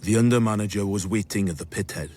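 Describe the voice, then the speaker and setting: An actor playing a wry, jaded doctor, narrating his memories in a measured way for a radio drama. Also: fairly low pitch, a little mellow, a little hiss or hum, close to an everyday voice. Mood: wry, reflective.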